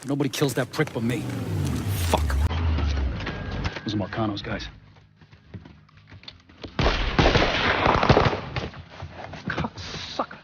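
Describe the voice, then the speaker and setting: An actor playing a vengeful, foul-mouthed American gangster in a crime video game, blunt, streetwise and menacing. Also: male voice, medium pitch, big pitch swings, normal speed, very noisy, natural voice. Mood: gruff, urgent. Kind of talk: dramatic crime game acting. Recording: music behind the voice.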